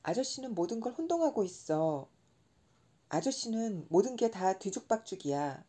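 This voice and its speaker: A woman's voice: mid-range voice, sing-song, moderate pace, warm tone. A woman reading as an earnest, stubborn little prince. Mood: indignant. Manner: pleading, insistent questioning.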